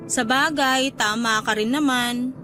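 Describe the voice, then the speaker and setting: A bold young Filipino waitress played by an actress, coquettish and teasing with her lover. Her voice is high and girlish, in radio romance style, with faint music behind it. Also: steady tone, a little slow, natural voice. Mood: playful, teasing. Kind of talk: radio romance drama acting.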